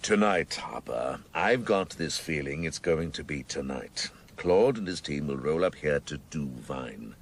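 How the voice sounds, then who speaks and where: An actor playing a sardonic, domineering senior detective in a radio drama, speaking in an arch, commanding, clipped and gravelly way. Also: male voice, extremely low pitch, animated, measured pace, hardly any pauses, full character voice. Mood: eager, intent.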